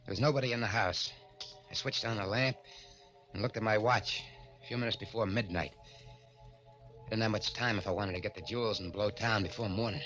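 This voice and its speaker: An actor playing a nervy, evasive escaped killer with an American accent, hesitant and stammering in 1940s radio style. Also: male voice, fairly low pitch, brisk, pauses often, fairly bright tone, close to an everyday voice. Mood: haunted. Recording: dull old radio recording with music.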